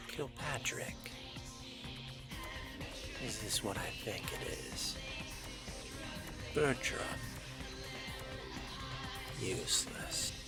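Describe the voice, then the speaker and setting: Casting: man as a dryly curious, bookish vampire for game roleplay, deep and unhurried, measured and formal, music behind. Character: brooding, scholarly male vampire fledgling, dryly curious. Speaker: player narrating in character. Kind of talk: in-character video game roleplay narration.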